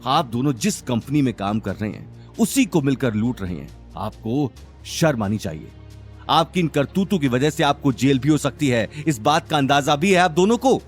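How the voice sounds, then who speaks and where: Male narrator as outraged female secretary, audio drama: stern scolding, lively intonation, light music bed. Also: medium pitch, normal speed, pitched above the performer's own voice, lightly acted. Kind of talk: Hindi audio drama acting.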